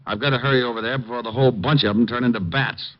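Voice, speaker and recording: An actor playing a cool, flirtatious private detective who also narrates in the first person, in a 1950s radio drama with a dry, wry delivery. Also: male voice, mid-range voice, fairly even intonation, quick pace, lightly acted. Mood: narrating. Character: wisecracking male private eye, cool and flirtatious. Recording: dull old radio broadcast with music.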